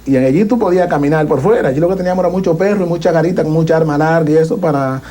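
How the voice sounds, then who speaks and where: A man's voice: a little high, very fast, warm tone. A middle-aged man with a Cuban accent telling stories in an interview in a blunt, colloquial, emphatic way. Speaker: interviewee, former prisoner. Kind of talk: casual storytelling in interview.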